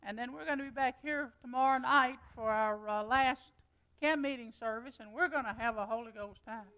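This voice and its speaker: A woman's voice: fairly high pitch, moderate pace, highs cut off. A woman giving chatty, folksy announcements as pastor at a church meeting. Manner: chatty and folksy, with loose trailing phrases.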